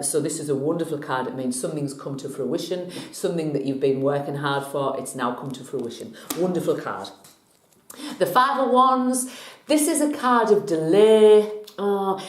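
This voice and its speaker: Brief: middle-aged woman, tarot vlogger, lowish voice, a bit scratchy from a cold, echoey room. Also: expressive, moderate pace. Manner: chatty and jokey, laughs often. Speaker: vlog host, tarot reader. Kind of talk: casual tarot teaching vlog.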